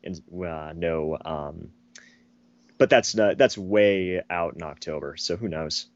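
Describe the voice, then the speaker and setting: A man with a lowish, slightly hoarse voice chats as a podcast co-host, rambling and halting as he goes. The recording is dry and somewhat dull. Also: no room sound. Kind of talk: casual podcast chat.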